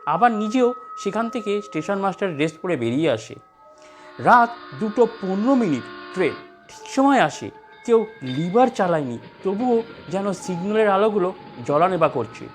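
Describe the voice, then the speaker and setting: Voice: mid-range voice, very animated, normal speed, faint music behind. A narrator reading a scripted horror story.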